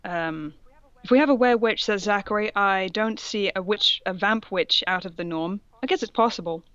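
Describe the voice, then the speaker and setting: A woman's voice: mid-range voice, moderate pace, fairly bright tone, clean tone, no echo. Dry, slightly muffled woman co-host. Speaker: co-host reading the female parts. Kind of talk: casual commentary reading of fan fiction aloud.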